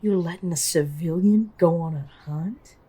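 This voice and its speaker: A woman's voice: on the low side, moderate pace, warm tone, husky. A woman playing a cheeky, nosy young vigilante in an audio roleplay, talking in a casual, slangy, teasing way. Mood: curious.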